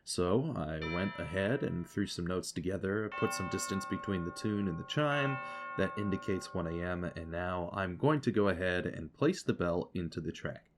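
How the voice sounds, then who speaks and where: A man's voice: low voice, animated, moderate pace, soft background music. A man giving an explanatory tutorial voice-over as an audio producer, instructive and speaking directly to the listener.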